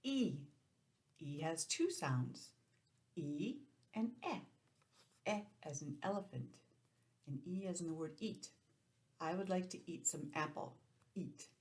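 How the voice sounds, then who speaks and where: A woman teacher giving an informal, encouraging lesson to young children, sounding out letters and counting deliberately. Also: lowish voice, expressive, darkish tone. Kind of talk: children's lesson, read-aloud introduction.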